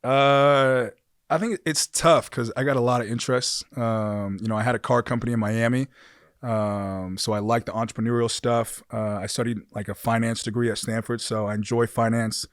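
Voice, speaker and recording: A young man from California, a pro football player, chatting casually as a podcast guest in a relaxed, easygoing way. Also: mid-range voice, normal speed, dry recording, studio quality. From California, USA. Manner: relaxed, easygoing storytelling with frequent fillers. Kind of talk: casual interview chat. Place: dark studio with red lighting. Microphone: studio mic on boom arm.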